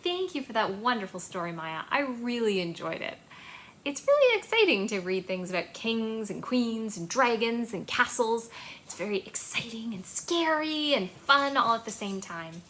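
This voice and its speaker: A woman in her thirties hosting a children's storytime, speaking in an animated, encouraging way to young listeners in a small home room. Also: on the high side, moderate pace. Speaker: children's library storytime host. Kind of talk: children's storytime with action rhymes and reading.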